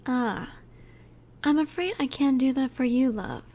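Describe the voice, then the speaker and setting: Soft, mellow, high-voiced female mafia boss acted by a woman. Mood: gentle, consoling. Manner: soft, unhurried, soothing yet in command. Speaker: audio roleplay voice actor. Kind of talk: intimate scripted audio roleplay, addressed to listener.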